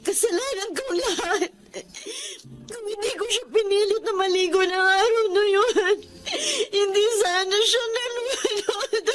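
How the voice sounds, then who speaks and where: A woman's voice: very high voice, measured pace, fairly bright tone, soft background music. An actress playing a tearful, pleading young woman stricken with grief and guilt in a Tagalog radio drama. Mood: anguished, guilt-ridden. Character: grieving, guilt-ridden young woman who lost her twin.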